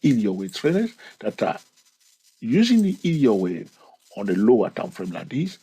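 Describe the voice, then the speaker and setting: A man's voice: mid-range voice, huge pitch swings, moderate pace, dark tone, husky. A man hosting his channel, walking through a chart lesson, stretching key words and repeating counts. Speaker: trading educator and channel host. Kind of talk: screen-recorded chart lesson.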